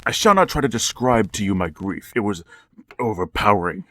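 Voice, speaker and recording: An actor playing a lonely, embittered widowed father who speaks in a measured, formal, brooding way. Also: on the low side, big pitch swings, sharp tone, heavily acted. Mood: grave. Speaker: narrator of the story. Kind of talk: 1940s radio drama narration.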